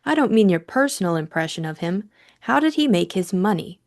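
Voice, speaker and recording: Woman playing a brisk, inquisitive young lady. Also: medium pitch, big pitch swings. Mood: determined, breezy. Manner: brisk, direct questioning.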